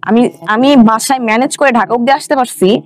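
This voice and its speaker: High-voiced young Bangladeshi woman, music behind. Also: brisk. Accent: Bangladeshi Bengali. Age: young adult. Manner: colloquial, mixes in English words. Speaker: guest telling own life story. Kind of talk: personal storytelling in an interview. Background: background music.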